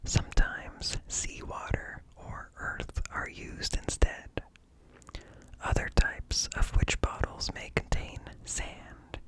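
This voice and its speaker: A man whispering an ASMR reading in a hushed, deliberate way, very close to the microphone. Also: low-quality recording. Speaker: ASMR host reading articles. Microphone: close up, ear-to-ear stereo.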